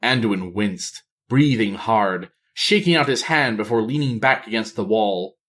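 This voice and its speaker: A man reading a fantasy novel aloud as scripted narration, in a measured, theatrical manner. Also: lowish voice, lively intonation, fairly bright tone. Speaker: audiobook reader.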